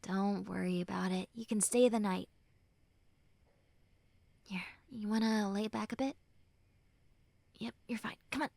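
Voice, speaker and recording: A woman playing a normally mean young tsundere who turns tender and caring, speaking haltingly and earnestly to the listener in an intimate roleplay. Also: mid-range voice, dry recording. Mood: soft, soothing. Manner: halting, bashful, earnest, soft and breathy when consoling. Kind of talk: intimate roleplay audio drama, spoken to the listener.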